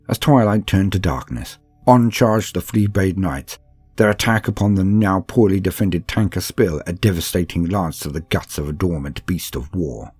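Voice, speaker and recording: Deep, husky man narrating calmly. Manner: calm, steady, measured storytelling. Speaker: narrator reading a campaign book. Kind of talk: scripted narration of war lore; calm sleep-aid reading.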